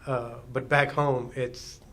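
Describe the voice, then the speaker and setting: Man, first language Urdu, panel guest; relaxed, laughs between points, mid pitch. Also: slow pace. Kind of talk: panel discussion answer. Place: meeting hall with an audience.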